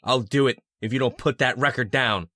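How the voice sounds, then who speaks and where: A man's voice: mid-range voice, fairly quick, pushed and bright, dry recording, clean studio sound. An actor playing a touchy, volatile man in an old-time radio drama, insistent and casual in his speech. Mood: angry, threatening. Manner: casual, insistent, swinging between offhand and heated.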